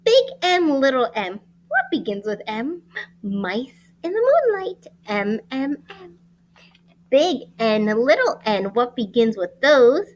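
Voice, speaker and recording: A woman teacher reading a picture book aloud to young children in an expressive, sing-song way with deliberate enunciation. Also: high voice, lo-fi sound. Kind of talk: animated children's read-aloud.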